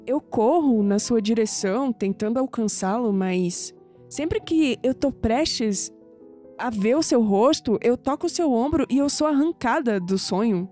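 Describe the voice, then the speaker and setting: An actress playing a young, proud, skeptical woman in an audio drama, with a Brazilian accent and an expressive delivery that turns hesitant when flustered. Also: on the high side, measured pace, soft background music. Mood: hesitant, troubled. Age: young adult.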